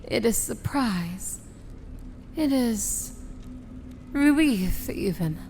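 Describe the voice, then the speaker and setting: Woman playing a slow, imperious evil princess. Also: a little high, animated, darkish tone, a little hiss or hum, heavily acted. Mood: amused, intrigued. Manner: slow, theatrical, grandly sardonic. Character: imperious, amused female princess of evil, theatrical fantasy villain. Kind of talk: ASMR fantasy roleplay.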